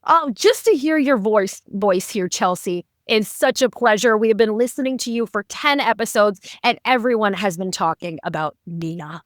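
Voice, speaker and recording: A woman's voice: medium pitch, normal speed, hardly any pauses, no room sound, clean studio sound. A woman hosting a podcast, introducing and interviewing guests in an upbeat presenter's style. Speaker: podcast host.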